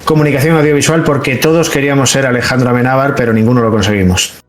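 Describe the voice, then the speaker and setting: A man's voice: medium pitch, fairly even intonation, rapid-fire. A man in his forties with an accent from Spain, chatting casually and colloquially as an interview guest. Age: 40s. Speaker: interview guest, video game journalist. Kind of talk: casual interview, anecdotal chat. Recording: roomy, echoing sound.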